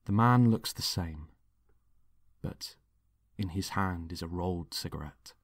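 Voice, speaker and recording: A man narrates a dramatic script in a low register. His delivery is slow and measured, and the recording is dry and close, with no room sound. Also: no echo. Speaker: writer and narrator.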